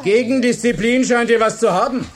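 A man acting in a radio drama as an authoritative, wry high-school teacher who teases as he addresses his class. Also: brisk. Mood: wry, challenging. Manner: authoritative, teasing.

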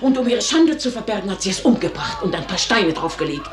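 A woman's voice: medium pitch, expressive, quick pace, close to an everyday voice. Blunt servant woman, echoing radio play played by an actress. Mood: grim, urgent. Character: blunt, gossip-bearing female house servant. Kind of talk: radio drama acting. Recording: roomy, echoing studio sound.

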